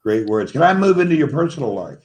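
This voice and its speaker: A man's voice: medium pitch, sing-song, fast, darkish tone. A man from Tennessee, co-hosting a live-stream chat and asking friendly, short questions. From Tennessee, USA. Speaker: co-host. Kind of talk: casual chat.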